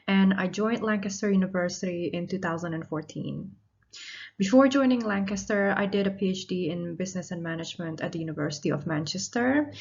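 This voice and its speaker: A woman in her thirties, originally from Indonesia, giving a recorded university lecture in a measured, explanatory way. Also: medium pitch. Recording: slightly dull lo-fi audio.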